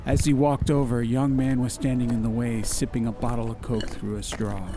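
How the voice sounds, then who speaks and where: Male narrator for an ambience audiobook, mid-pitched, dramatized storytelling over a prominent bed of music and traffic effects. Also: flat delivery, fairly quick, dark tone. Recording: music, car and outdoor ambience behind. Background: music and vehicle sound effects.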